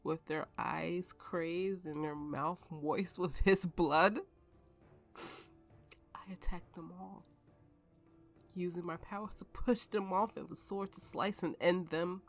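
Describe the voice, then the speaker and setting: Haunted, tipsy swordswoman played by an actress, ASMR roleplay storyteller; slow, creaky delivery, bitter and grieving, dramatic pauses. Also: on the low side, steady tone, soft background music. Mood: numb, intense. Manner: halting, slow, dramatic pauses, dropping toward whispers.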